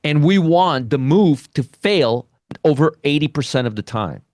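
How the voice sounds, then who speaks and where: A man's voice: fairly high pitch, expressive, moderate pace, a little mellow, dry recording, studio quality. A man hosting a live trading webinar, teaching options and often checking in with "you understand?" as he repeats his points. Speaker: options trading educator, show host.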